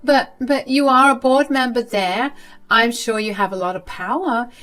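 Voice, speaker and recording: A wheedling, manipulative old grandmother, sick and begging, as a woman puts her on. Her voice rides high and whiny, with a faint bed of music underneath. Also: moderate pace, fairly bright tone, close to an everyday voice.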